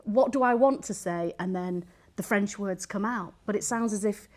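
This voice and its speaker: A woman from Manchester hosting a podcast, chatty and enthusiastic, asking questions and reacting quickly. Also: medium pitch, a little mellow. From Manchester, England. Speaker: podcast host. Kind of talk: casual interview chat.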